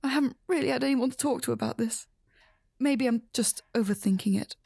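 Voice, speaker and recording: A woman's voice: on the high side, a little fast, creaky, darkish tone, no room sound, clean studio sound. A woman playing a strained, apologetic but firm stepmother in a horror radio drama. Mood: wistful.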